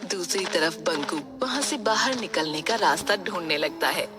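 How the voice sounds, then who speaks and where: A woman's voice: lowish voice, expressive, moderate pace, over music. A woman giving scripted storytelling narration for a cartoon fairy tale.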